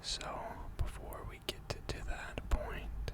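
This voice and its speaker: A person in an ASMR roleplay voicing a gentle, attentive mechanic who tends robots, speaking formally and deliberately in a soft, breathy whisper. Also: natural voice. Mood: calm, methodical. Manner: slow, deliberate, soothing, formal.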